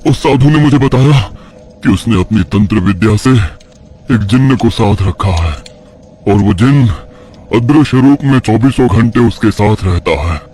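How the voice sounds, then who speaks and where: A man's voice: on the low side, expressive, a little slow, warm tone, breathy edge. A man playing a devout village priest and troubled father, telling his tale earnestly in a horror audio story. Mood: confessional.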